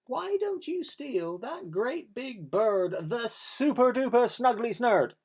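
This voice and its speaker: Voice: medium pitch, sing-song, slow, a little mellow, slightly rough, voice pushed up, full character voice. A reader voicing a crafty goose who tricks the thieves with a made-up bird. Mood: sly. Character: crafty farmyard goose passing the blame.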